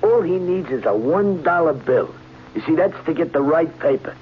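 An actor playing a dim-witted, trusting sidekick who stammers eagerly in a radio drama. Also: male voice, a little high, lively intonation, moderate pace, a little hiss or hum, lightly acted. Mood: insistent. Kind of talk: 1940s radio acting. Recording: tinny old radio recording with music.

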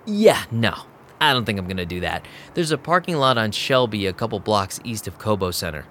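Wry, animated streetwise vampire narrator. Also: male voice, on the low side, fairly quick, slight background noise. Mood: sarcastic, wary. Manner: sardonic, casual. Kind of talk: first-person audio drama narration.

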